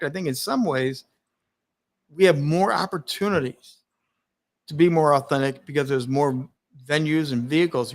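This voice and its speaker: A man hosting a live-stream conversation, talking in an energetic, emphatic way, laughing often and speaking openly about his stutter. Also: a little high. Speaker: host.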